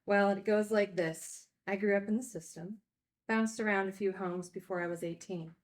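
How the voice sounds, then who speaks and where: An actress playing a candid, wry recovering addict who teaches at a group home, in a naturalistic screenplay read. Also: female voice, mid-range voice, steady tone, normal speed, natural voice. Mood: reflective, confessional.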